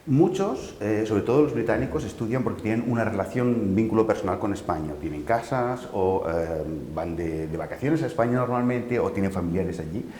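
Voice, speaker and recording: A man speaking on camera at a language school, explaining its classes in a measured way with some fillers. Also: fairly low pitch, lively intonation, darkish tone. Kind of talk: explaining on camera during a report. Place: language school in London, indoors. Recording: echoey room, faint background noise.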